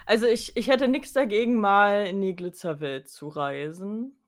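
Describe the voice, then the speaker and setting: A woman's voice: medium pitch, normal speed. A woman co-hosting a podcast in a casual, conversational style. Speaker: podcast co-host. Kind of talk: casual chat.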